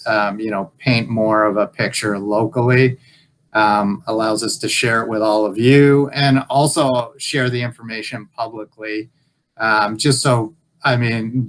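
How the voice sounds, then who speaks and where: A man giving an informal update on a conference call in a halting, hesitant way. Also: mid-range voice, a bit bright, poor audio. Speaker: local employer survey presenter. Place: group video call.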